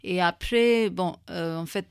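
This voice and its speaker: A woman's voice: lowish voice, takes it slowly, clean tone, no room sound. A woman, a Hindi speaker, speaking as a guest in a casual radio interview, hesitant with many fillers and restarts. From India. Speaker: guest, association founder.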